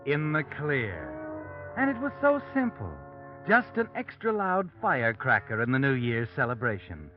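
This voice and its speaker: A man's voice: medium pitch, huge pitch swings, normal speed. A man playing a taunting, all-knowing narrator in a 1940s radio mystery, speaking theatrically to a guilty man. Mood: sardonic, ominous. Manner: taunting, theatrical second-person address. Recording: dull old radio recording, loud music behind.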